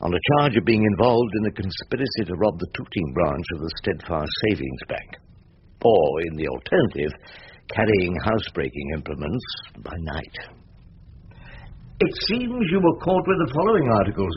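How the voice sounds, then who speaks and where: Deep, dry older English barrister. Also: male voice, very animated. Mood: dry questioning. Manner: dry, ornate, deliberate phrasing. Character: older male barrister, wry, wordy storyteller of his cases. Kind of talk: British radio drama narration. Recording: dull lo-fi radio recording, background noise.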